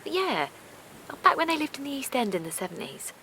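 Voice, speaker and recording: A woman's voice: sits high, big pitch swings, brisk, pushed and bright, exaggerated voice. An actress playing a naive, kindly middle-aged village woman in an audio drama, hesitant and defensive, with colloquial phrasing. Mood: gossipy, hesitant. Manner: hesitant, colloquial, protesting, repeats herself. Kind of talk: naturalistic audio drama acting.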